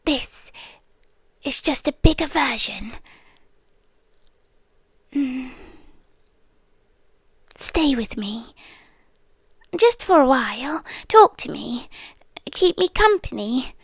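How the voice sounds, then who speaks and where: A woman voicing a lonely, eerie trapped woman who is half a monster. She speaks slowly and softly, often in a breathy whisper, with coaxing intimacy. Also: high voice, lively intonation, no room sound, clean studio sound, full character voice. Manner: slow, soft and intimate, coaxing. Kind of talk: second-person audio drama.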